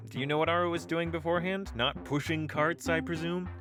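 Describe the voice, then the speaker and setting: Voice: medium pitch, fairly even intonation, a little fast, over music, close to an everyday voice. An actor playing an anxious but reasonable young traveler in a sci-fi audio drama, questioning and placating.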